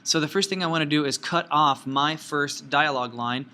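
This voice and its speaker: A man giving informal step-by-step software instruction as a teacher, with folksy, playful phrasing. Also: fairly high pitch, moderate pace. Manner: folksy, playful asides and sound words.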